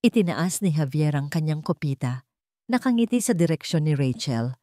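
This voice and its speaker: A woman narrates a scripted drama as a storyteller. Her voice is low for a woman, and the recording is dry with hardly any room sound. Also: animated, moderate pace, mellow, dry recording.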